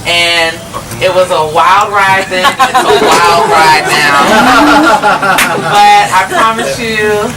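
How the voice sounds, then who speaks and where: A woman's voice: on the low side, slow. Bubbly woman toasting, heard far off. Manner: bubbly, laughing, upbeat. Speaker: wedding guest giving a toast. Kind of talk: wedding toast. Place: wedding reception. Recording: distant mic in a noisy crowd.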